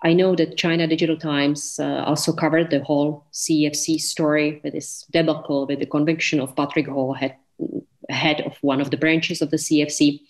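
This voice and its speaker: A woman with a distinctly low-pitched voice hosts a formal interview. She is courteous and measured, working through prepared questions for her guest. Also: level tone.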